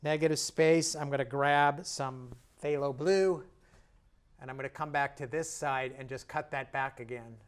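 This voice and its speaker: A man teaching a painting lesson in a casual, joking way, talking as he works and steps back to look, with a slightly hoarse voice. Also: mid-range voice, steady tone, normal speed. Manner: chatty, self-deprecating and jokey while working; talks while painting and stepping back from the canvas. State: slightly hoarse, clearing his throat. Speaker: painting instructor.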